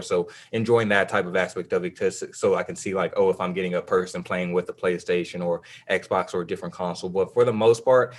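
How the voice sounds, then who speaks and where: Low-voiced man rambling about games. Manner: loose, rambling, many fillers and restarts. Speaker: podcast co-host. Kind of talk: casual gaming podcast chat.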